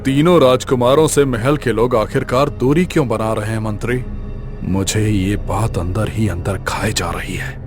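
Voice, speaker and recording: A man playing a proud, anxious middle-aged king with a dramatic, regal, brooding delivery in an animated tale. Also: fairly low pitch, normal speed, music in the background, close to an everyday voice. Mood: worried, brooding. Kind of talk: animated moral tale voice acting.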